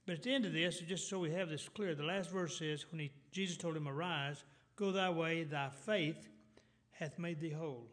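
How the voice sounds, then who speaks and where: A middle-aged preacher with a Texas drawl, his voice sitting a little high for a man. He works through his sermon in loose, rambling sentences, doubling back and restarting often. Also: normal speed, fairly bright tone. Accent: Texan. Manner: rambling, many restarts and fillers, clears throat.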